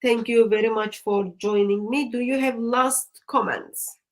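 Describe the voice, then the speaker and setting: A woman with a Turkish accent, a native Turkish speaker, hosting a religious discussion show in a deliberate, emphatic way that leans on repeated phrases. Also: a little high, steady tone. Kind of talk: live discussion with evangelistic exhortation.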